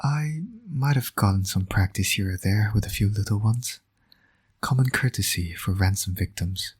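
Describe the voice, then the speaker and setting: Guy doing a teasing villain daddy for romance audio, lowish voice, super husky and breathy, almost whispery, smug and intimate. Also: normal speed, darkish tone. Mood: soft, smug. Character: teasing flirtatious male villain, doting new father. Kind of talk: romantic roleplay audio acting.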